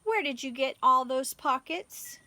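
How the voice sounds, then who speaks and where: Storybook mother kangaroo, played by a woman: eager, polite, pleading, very high and bright. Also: expressive, measured pace, no room sound, full character voice. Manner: pleading, exclaiming. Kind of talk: children's storybook character voice.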